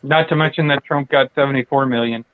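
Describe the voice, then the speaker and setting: A man's voice: mid-range voice, steady tone, quick pace, smooth, no room sound. A man hosting a late-night casual chat, rambling and profane, with lots of fillers and restarts. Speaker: channel host. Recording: tinny dry phone-like audio.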